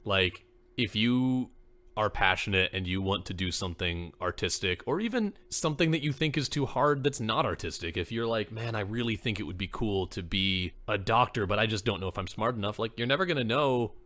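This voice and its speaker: A man's voice: lowish voice, lively intonation, fairly quick, a bit bright. A man co-hosting a casual podcast, an actor with a voice people call made for radio, talking earnestly and saying "like" often. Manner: earnest, peppers speech with "like".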